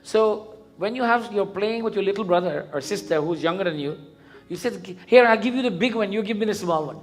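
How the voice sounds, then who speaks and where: High-voiced Guyanese man preaching, music behind. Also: low-quality recording. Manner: didactic, questioning, deliberate repetition. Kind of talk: interactive sermon. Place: live event in a temple before a congregation.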